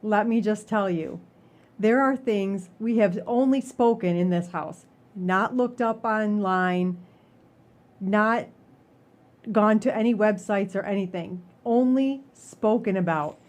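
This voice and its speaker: A woman's voice: mid-range voice, slow pace, darkish tone. A woman chatting casually as the co-host of a podcast. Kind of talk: casual chat.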